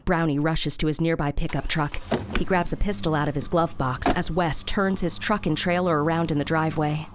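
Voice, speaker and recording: A woman reading scripted audio drama narration in a measured, matter-of-fact way. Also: low voice, steady tone, noisy background.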